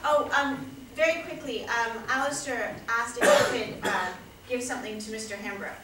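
A woman's voice: on the high side, moderate pace. A young woman hosting an event in a chatty, informal way at a lectern in a wood-panelled hall, filmed from far off. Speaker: event host. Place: wood-panelled hall, large indoor room. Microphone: lectern mic, far camera. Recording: echoey hall from far off, noisy.

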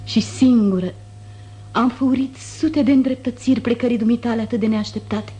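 An actress plays a proud, wounded noblewoman in 1950s radio theatre. Her voice is fairly high and soft-toned, very mellow, and she speaks in formal, declamatory reproach. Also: fairly even intonation, natural voice. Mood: wounded, bitter.

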